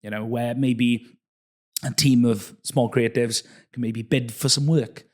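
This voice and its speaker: A man hosting a podcast in a casual, conversational tone. His voice sits at an ordinary mid pitch. He is friendly and a little hesitant, filling pauses with soft "uh"s as he gathers his thoughts. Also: steady tone. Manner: friendly, hesitant with frequent uh fillers. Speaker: podcast host. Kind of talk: casual interview chat.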